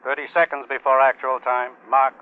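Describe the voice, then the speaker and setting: An actor playing a clipped, impersonal military announcer calling an atomic test countdown in a 1950s radio drama. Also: male voice, mid-range voice, level tone, normal speed, close to an everyday voice. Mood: stern, procedural. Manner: clipped, formal, repeating commands. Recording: muffled old radio broadcast recording.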